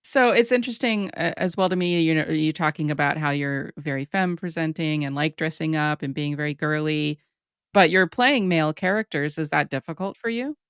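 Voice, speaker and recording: A woman hosting a casual podcast interview, relaxed and wry, laughing often. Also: deep voice, fairly quick, clean tone, no room sound, clean studio sound. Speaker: podcast host.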